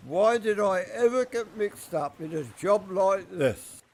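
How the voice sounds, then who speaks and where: A man's voice: high-pitched, lively intonation, measured pace. An amateur actor playing a cool-headed male secret agent in a radio drama, giving urgent, clipped commands. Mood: wry.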